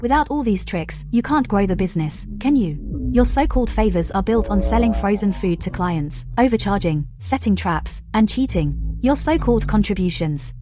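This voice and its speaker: A woman's voice: medium pitch, animated, normal speed, mellow, lightly acted. Woman as young boss lady, firm and determined, drama dub, faint music behind. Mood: accusing. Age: young adult. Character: resolute young female company president, righteous and unyielding. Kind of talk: dubbed melodrama acting.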